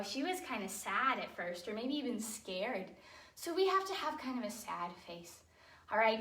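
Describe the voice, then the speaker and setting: A woman's voice: sits high, bright, forceful tone. A young woman, a ballet teacher, leads children through a dance in simple, encouraging step-by-step instructions. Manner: encouraging, childlike-simple phrasing, counting out moves. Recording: roomy echo, distant microphone.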